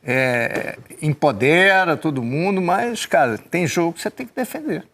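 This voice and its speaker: A man's voice: fairly high pitch, expressive, moderate pace, vocal fry, a bit bright. A man, a football pundit arguing his point emphatically and repeating himself. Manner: emphatic, repeats himself, says "cara" often. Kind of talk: studio football debate.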